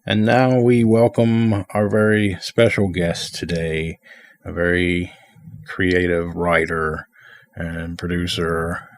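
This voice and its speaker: A man's voice: deep voice, fairly even intonation, slow. A man presenting formally, announcing a guest as the host.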